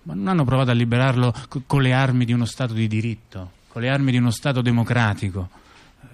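A man acting as a chatty intellectual, telling scandals in conversational stage monologue, halting and repeating himself with frequent tag questions. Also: medium pitch, a little mellow, clear, resonant tone, close to an everyday voice. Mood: explanatory, ironic. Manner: halting, repetitive, full of rhetorical tag questions. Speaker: stage actor-narrator. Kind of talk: conversational monologue theatre, addressing a listener.

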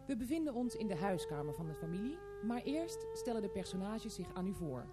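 A woman's voice: medium pitch, very animated, brisk, over loud music, lightly acted. A woman playing a polite announcer who formally introduces a family radio play. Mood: polite, presenting.

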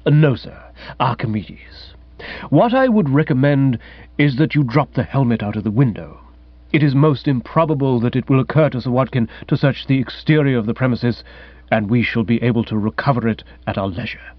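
A man's voice: medium pitch, expressive, normal speed, no room sound, lightly acted. An unflappable English manservant, as a male reader plays him in a comic audiobook. He speaks with formal deference and complete composure. The recording is close and dry, a little dull. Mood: composed. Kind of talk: comic audiobook character acting.

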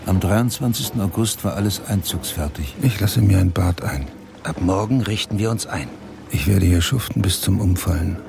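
An actor playing a grieving widowed father of about forty in a radio drama monologue, speaking in terse, measured, brooding phrases. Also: sits low, creaky. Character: grieving widowed father looking back on a fresh start. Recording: music laid loudly under the voice. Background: music.

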